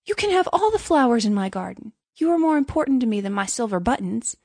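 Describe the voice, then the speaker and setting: A woman's voice: fairly high pitch, big pitch swings, fast, darkish tone, no room sound. Woman playing a meek, earnest little storybook man. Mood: devoted. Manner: earnest, apologetic, emphatic. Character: meek, naive, good-hearted little man, eager to please. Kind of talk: storybook character voice.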